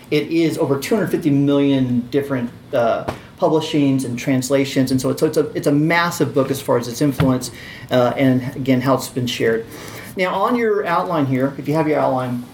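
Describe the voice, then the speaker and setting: A man's voice: darkish tone, a little hiss or hum. Man lecturing in a roomy classroom. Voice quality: squeaky. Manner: chatty, many fillers and restarts. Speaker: teacher. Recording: roomy, distant, faint ambience.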